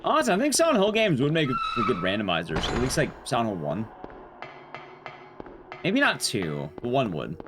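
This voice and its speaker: Male streamer, chatty speedrun commentary, very lively pitch swings, clear background noise and clicks. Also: normal speed. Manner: rambling, chatty, jokey asides. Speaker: streamer speedrunning a horror game. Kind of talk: casual live game commentary.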